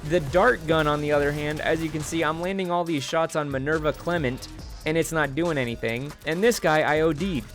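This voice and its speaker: A man's voice: a little high, brisk, a bit bright. A man hosting a casual game review as commentary, talking in a colloquial, jokey and opinionated way. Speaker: gaming video host reviewing a mod. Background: music.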